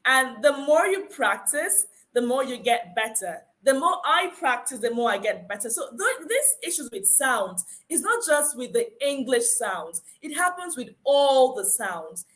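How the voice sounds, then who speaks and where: Bright Nigerian woman teaching phonics. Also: sits high, big pitch swings, a little slow, few pauses. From Akwa Ibom, Nigeria. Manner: encouraging, emphatic, repeats key points. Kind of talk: conference lecture.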